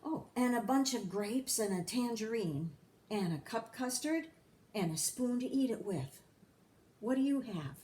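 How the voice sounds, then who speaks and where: A woman's voice: fairly high pitch, expressive, measured pace, a little mellow, distant mic. Woman reading as an earnest storybook schoolboy. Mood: earnest, pleased. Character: contented schoolboy proudly itemizing his food.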